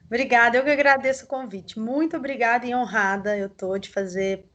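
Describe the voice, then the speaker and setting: A woman with a Mineiro accent answering interview questions over a video call, explaining things in a measured way with frequent tag questions. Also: on the high side, steady tone, fairly bright tone, muffled recording. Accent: Mineiro Brazilian Portuguese. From southern Minas Gerais, Brazil. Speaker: coffee growers' association representative.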